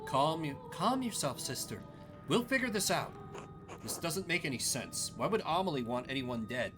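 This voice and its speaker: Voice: mid-range voice, sing-song, normal speed, voice pushed down, close to an everyday voice. A game player voicing an earnest, troubled young woman who questions directly and probingly, over music. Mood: urgent, soothing. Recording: music under the voice.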